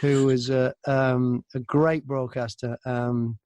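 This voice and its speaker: A middle-aged man, a broadcaster and narrator, telling stories in a casual, animated, wry interview style. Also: mid-range voice, slow pace, dry recording. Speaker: audiobook narrator and radio broadcaster.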